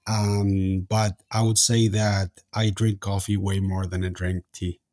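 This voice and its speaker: A man's voice: sits low, flat delivery, a little slow, a little mellow, no room sound, studio quality. A man in his forties from Argentina, answering interview questions in a hesitant way with many fillers and restarts. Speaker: podcast guest, company founder.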